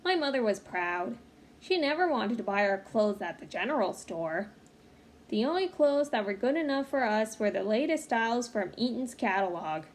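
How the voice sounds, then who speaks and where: A woman reading a children's picture book aloud in an expressive, engaged way, with small asides to her listeners. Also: a little high. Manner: expressive, pausing to comment to young listeners. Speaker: read-aloud storyteller. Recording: clearly noisy home recording.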